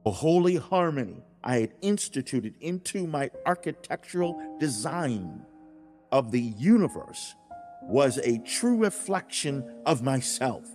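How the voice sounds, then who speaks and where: A man's voice: mid-range voice, very animated, darkish tone. Man voicing a solemn, preaching God, music behind. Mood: grave, lamenting. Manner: deliberate, preaching, emphatic enunciation. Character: God speaking directly to humankind, solemn, fatherly and grave. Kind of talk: dramatized audiobook monologue.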